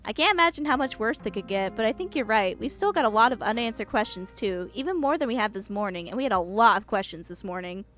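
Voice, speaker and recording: A woman's voice: on the high side, lively intonation, quick pace, hardly any pauses, a bit bright. A woman voicing an earnest, loyal and curious young woman from a visual novel. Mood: pondering. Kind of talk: visual novel character reading. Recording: music behind the voice.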